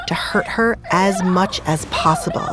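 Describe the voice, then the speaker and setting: A woman's voice: medium pitch, wildly swooping pitch, measured pace, heavy background noise. A woman narrating a scripted dramatic story as its narrator.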